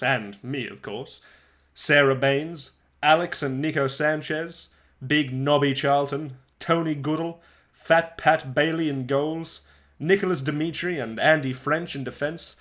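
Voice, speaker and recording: A man's voice: on the high side, a bit bright. Man reading as chatty soccer-mad schoolboy narrator. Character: chatty eleven-year-old soccer-mad schoolboy, wry and eager. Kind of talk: dramatic first-person storybook reading.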